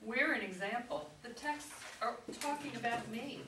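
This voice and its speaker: A woman's voice: a little high, normal speed, natural voice. A woman acting a contrary, aggrieved wife in her forties in a staged comedy reading, dryly complaining and contradicting. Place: echoey room, mic away from performers. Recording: roomy distant stage recording, faint noise.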